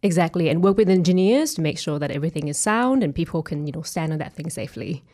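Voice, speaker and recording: Woman, from Thailand, slightly low pitch, casual interview guest. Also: animated, fast, dark tone. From Thailand, from Bangkok. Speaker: interview guest, web developer at a software company.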